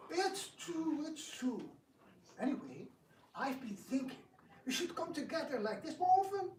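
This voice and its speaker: A man's voice: very high voice, big pitch swings, unhurried, darkish tone, heavily acted. A man playing a proud, scheming prince who feigns gracious courtesy in a theatrical reading. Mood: effusively gracious. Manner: florid, exaggerated courtesy. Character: proud, scheming Indian prince, a grandfather, falsely gracious host. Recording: echoey room, distant mic, background noise.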